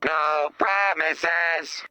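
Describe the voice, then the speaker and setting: An actor playing a menacing, deadpan slasher robot in a video game, speaking in terse, ominous statements. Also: male voice, fairly high pitch, drawn-out words, faint music behind, exaggerated voice. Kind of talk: scripted video game voice acting.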